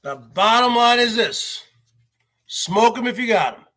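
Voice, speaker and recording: A man's voice: very high voice, expressive, unhurried, bright, forceful tone. An American man in his forties from New Jersey, ranting on a livestream in a rambling way and repeating his phrases insistently. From northern New Jersey, United States. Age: 40s. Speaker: livestream host.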